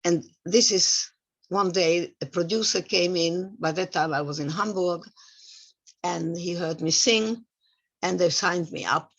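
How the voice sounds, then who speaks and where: A woman in her eighties from Hungary with a Hungarian accent, giving personal testimony in a reflective, halting way, repeating words for stress. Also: lowish voice. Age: 80s. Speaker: Holocaust survivor giving testimony. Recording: dull, muffled lo-fi sound.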